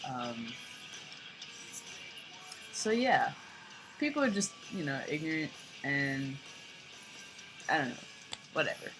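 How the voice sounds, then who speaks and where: A young man with a light, fairly high voice talking in a rambling, casual vlog, full of "like" and "you know". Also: big pitch swings, slow pace, long pauses, music in the background, poor audio. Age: young adult. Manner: rambling, filler-heavy, lots of "like" and "you know". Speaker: vlogger.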